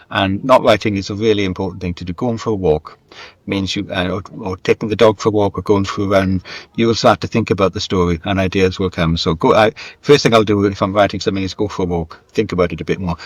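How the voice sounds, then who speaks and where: Male writer guest, low voice, dry close sound, reflective and self-deprecating, anecdotal delivery. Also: dry recording. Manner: candid, self-deprecating, wandering anecdotal talk. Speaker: guest, screenwriter and novelist. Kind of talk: reflective conversational interview.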